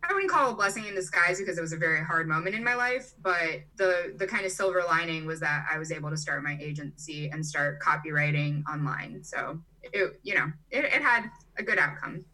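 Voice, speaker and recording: A woman's voice: sits low, animated, fairly quick, hardly any pauses, bright, forceful tone, recorded from a distance, low-quality recording. A young woman in her twenties from Brazil and Chicago, chatting casually as an interview guest and filling her talk with "like" and "you know". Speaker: interview guest, digital nomad.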